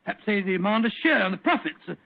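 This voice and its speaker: An actor playing a genial, hesitant English gentleman, bumbling and easily flattered, in an old-time radio drama. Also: high voice, fast talker, creaky. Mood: pondering. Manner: hesitant, fussy, good-natured stammering. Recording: dull, band-limited old radio recording.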